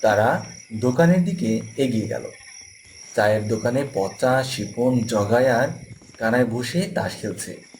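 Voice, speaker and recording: A man's voice: mid-range voice, measured pace, darkish tone, slight background noise. A man serving as narrator, delivering scripted narration for a horror story.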